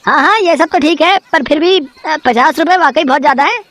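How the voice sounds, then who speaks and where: A woman voicing a naive, eager, excitable young male customer in a cartoon moral story, earnest and chatty. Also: sits high, fairly quick. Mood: indignant. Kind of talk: acted Hindi moral-story cartoon dialogue.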